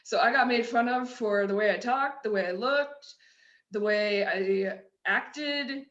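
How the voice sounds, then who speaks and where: A woman's voice: fairly high pitch, a little slow, a bit bright, highs cut off. A middle-aged woman from the US Midwest with a Midwestern accent, telling her own story candidly as a podcast guest, with frequent restarts. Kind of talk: personal storytelling in a conversation. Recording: roomy echo.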